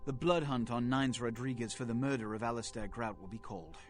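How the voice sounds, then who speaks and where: An actor playing a pompous, embattled vampire prince in a video game, speaking in formal, melodramatic and indignant speeches. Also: male voice, mid-range voice, brisk, faint music behind, natural voice. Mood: weary, imperious. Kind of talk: dramatic video game voice acting.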